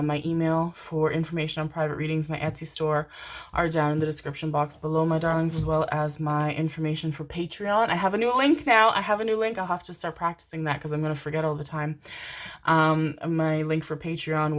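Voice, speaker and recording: A young woman doing a casual tarot reading to camera, chatty and rambling, full of fillers and affectionate pet names. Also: deep voice. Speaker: tarot reader.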